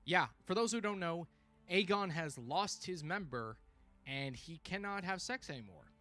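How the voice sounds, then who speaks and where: Bright-voiced man doing animated livestream commentary. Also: high-pitched, moderate pace, soft background music. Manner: animated, exclamatory, informal. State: voice tiring, frequent throat clearing. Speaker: livestream host reviewing a TV series. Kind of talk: casual fan commentary.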